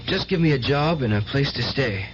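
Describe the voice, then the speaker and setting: A man's voice: mid-range voice, lively intonation, moderate pace, lightly acted. An actor playing a meek but self-righteous young man, earnest and hesitant, in radio drama style. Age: young adult. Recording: muffled radio drama recording, faint hiss.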